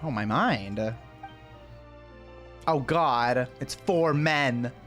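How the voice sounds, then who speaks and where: A woman game streamer with an extremely low voice gives casual reaction commentary. Faint music plays behind her. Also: extremely slow.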